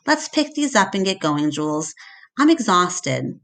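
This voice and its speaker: A woman's voice: mid-range voice, big pitch swings, measured pace, fairly bright tone. Woman doing a worn out dad in a storybook, skeptical and brushing things off. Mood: tired disbelief. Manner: tired, dismissive, sighing. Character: weary, skeptical father working as a cleaner. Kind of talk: storybook read-aloud character voice.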